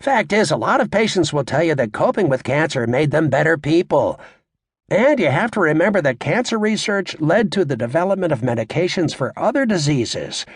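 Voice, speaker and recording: A male narrator performs a brash club comedian, crude and cocky, in an audiobook. His pitch is raised and swings boldly around as he needles the crowd with showy sarcasm. The voice is raspy. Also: very animated, moderate pace, dry recording, studio quality, full character voice. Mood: mock-earnest. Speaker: stand-up comedian.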